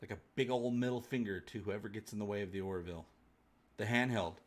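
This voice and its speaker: A man's voice: on the low side, fast talker. Casual, rambling man hosting a livestream. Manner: rambling, jokey, colloquial. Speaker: host of a fan livestream. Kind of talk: casual chat, answering live viewer comments.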